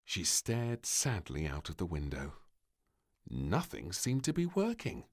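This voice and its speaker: Casting: man with a very deep voice for slow, highly expressive children's story narration.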